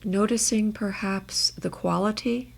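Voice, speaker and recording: Slow-paced woman guiding meditation, slightly creaky voice. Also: mid-range voice, fairly even intonation. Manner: measured, gentle instruction with long pauses. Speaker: meditation guide. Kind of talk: guided body scan meditation.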